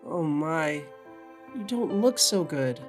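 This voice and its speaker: A woman voicing a gentle, curious young male witch with a soft, casual way of talking in an audio drama. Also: low-pitched, expressive, slow, over music. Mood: gentle, reassuring. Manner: soft-spoken, kindly, casual. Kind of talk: audio drama character acting.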